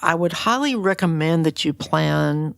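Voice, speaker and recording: A woman's voice: low-pitched, animated, measured pace, creaky, no room sound, clean studio sound. An older woman interviewing casually as a podcast host, plainspoken and ready with her opinions.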